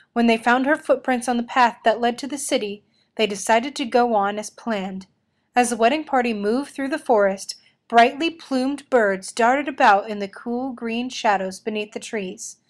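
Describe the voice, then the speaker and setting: A woman reading a children's story aloud in an expressive storytelling style. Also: a little high. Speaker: storybook reader.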